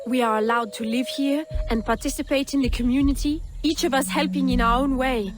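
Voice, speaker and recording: High-voiced, blissfully devoted woman laborer played by an actress. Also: steady tone, a little fast, lightly acted. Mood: serenely joyful. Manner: insistently cheerful, guarded. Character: blissfully content, fearful, brainwashed woman laborer. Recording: music under the voice.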